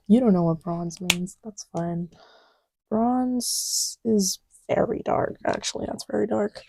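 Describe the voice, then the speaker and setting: Soft woman kinda half whispering to herself, slow n mellow, casual asmr ramble. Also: a little high, slight background noise, rough recording. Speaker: audio creator. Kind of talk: casual ASMR-style rambling while testing markers.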